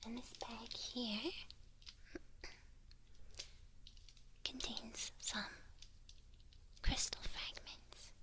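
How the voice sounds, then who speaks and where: A woman's voice: on the high side, steady tone. Whispering witch mentor, played by a woman. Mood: gentle, pleased. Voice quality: breathy, unvoiced whisper. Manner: gentle, unhurried, instructive. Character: kindly witch mentoring her new apprentice. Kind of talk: whispered ASMR roleplay.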